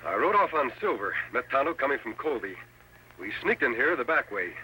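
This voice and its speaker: An American actor playing a steady, commanding masked cowboy hero in an old-time radio western. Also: male voice, medium pitch, brisk, a little hiss or hum, low-quality recording. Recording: old radio broadcast with music behind.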